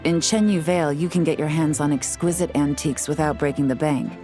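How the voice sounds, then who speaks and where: Game role: a cool, unhurried woman agent with a lower voice, played by a woman. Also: lightly acted. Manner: calm, measured, dryly explanatory. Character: cool, composed female intelligence agent. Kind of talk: video game voice acting. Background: soft game music.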